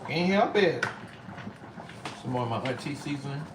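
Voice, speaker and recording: A man's voice: medium pitch, animated, moderate pace. A middle-aged man chatting casually as he cooks in his home kitchen, talking to viewers and his pets while he works. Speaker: home cook vlogger. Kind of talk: casual cooking vlog chat. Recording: echoey room, distant mic, background noise.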